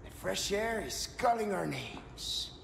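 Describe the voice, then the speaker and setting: Cheeky spanish rogue, high lively voice, game cutscene, noisy behind. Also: male voice, takes it slowly, gravelly, full character voice. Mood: relieved banter. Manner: joking, breezy. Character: cheeky, roguish Spanish male sidekick. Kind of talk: video game character acting.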